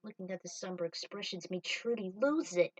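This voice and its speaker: A woman's voice: mid-range voice, expressive, fairly quick, no echo. Woman narrating a story, slightly distant. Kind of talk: narrating fan fiction.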